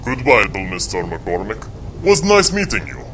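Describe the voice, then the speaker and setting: Man playing a gentle giant, swinging pitch. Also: wildly swooping pitch, normal speed, slight background noise. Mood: friendly, businesslike. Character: huge, gentle, literal-minded strongman crewman. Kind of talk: audio drama acting.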